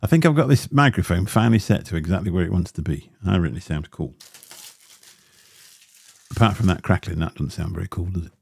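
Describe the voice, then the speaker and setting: A very low, gravelly man chats into a close studio mic in a small home room. His tone is dark and mellow, and he rambles along with plenty of ums and grumbling asides. The sound is dry and close. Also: a little fast, no room sound. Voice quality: deep voice. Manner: rambling and chatty with many fillers, grumbling asides. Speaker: livestream host. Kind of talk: casual livestream chat while unboxing gear. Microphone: dynamic studio mic close up.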